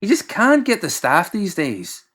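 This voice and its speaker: Excitable, high-pitched mad doctor. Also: male voice, measured pace, full character voice. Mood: grumbling. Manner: snappish, fussy, defensive. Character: exasperated, excitable, sentimental male mad doctor. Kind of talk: audiobook character voice.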